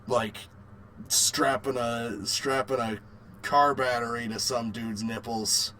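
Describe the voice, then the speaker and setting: A man's voice: medium pitch, steady tone, takes it slowly, pushed and bright. A man co-hosting a casual podcast, talking informally with repeated words and some swearing. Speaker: podcast co-host. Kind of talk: casual chat about video games and films.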